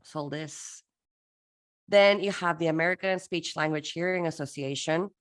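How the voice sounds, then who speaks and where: A woman from Peru presenting a workshop for interpreters, lively and playful, acting out little dialogues as she teaches. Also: sits low, normal speed.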